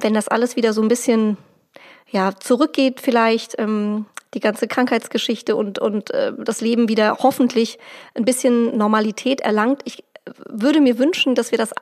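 Higher-voiced woman hosting a chat. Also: fairly even intonation, moderate pace. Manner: warm, chatty, asks follow-up questions. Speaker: host. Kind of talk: casual interview chat.

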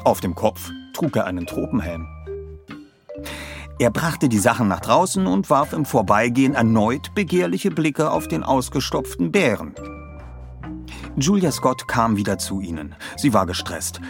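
Audiobook narrator, male, medium register, expressive scripted storytelling with soft music behind. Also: medium pitch, measured pace, vocal fry.

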